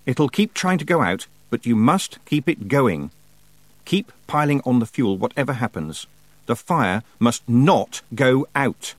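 A young teenage witch, earnest and worried but determined read by a man. The delivery is lightly raised and expressive, in a dry, close storybook recording. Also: mid-range voice, moderate pace, no room sound. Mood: firm. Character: thirteen-year-old girl witch, anxious but resolute.

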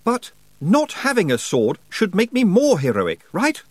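A polite, anxious young hero, as a male narrator puts him on, his voice lifted and his pitch rising and falling a lot with worry. It sounds up close and dry, like a storybook read in a quiet booth. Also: huge pitch swings, measured pace, no echo, exaggerated voice. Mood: earnest. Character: earnest, nervous young man hero. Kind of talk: audiobook character voice.

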